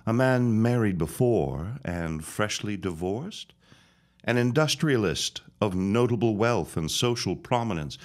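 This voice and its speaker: Menacing period-drama reverend, deep and unhurried, self-righteous, taunting, preacherly. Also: male voice. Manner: slow, grandiose, preacherly threatening. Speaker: actor in an audio drama. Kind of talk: period audio drama acting.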